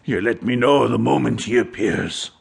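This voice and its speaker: An actor playing a surly, hard-drinking old sea captain with a gravelly, domineering delivery in a radio drama. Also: male voice, mid-range voice, normal speed. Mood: low, wary. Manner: barking, domineering, blustering.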